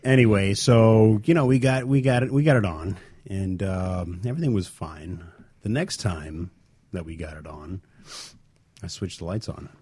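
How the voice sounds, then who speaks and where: A man's voice: deep voice, moderate pace, mellow, slightly rough, no echo. A man co-hosting a radio show, telling stories casually in an easygoing, dry manner. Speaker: radio co-host. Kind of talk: casual talk show storytelling.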